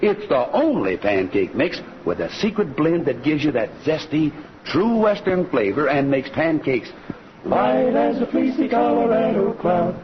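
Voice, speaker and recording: A hearty American cowboy host with a fairly high voice and an unhurried, folksy delivery. He sells like an old-time radio announcer, on a dull vintage recording with music loud behind him. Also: very animated, background noise. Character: friendly singing cowboy ranch host pitching pancake flour. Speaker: host and pitchman. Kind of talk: 1940s radio western show announcing.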